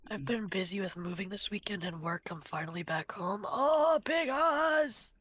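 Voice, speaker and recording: A woman's voice: medium pitch, animated, normal speed, fairly bright tone. A woman chatting informally as a livestream co-host, tending to repeat her phrases. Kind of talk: casual livestream chat.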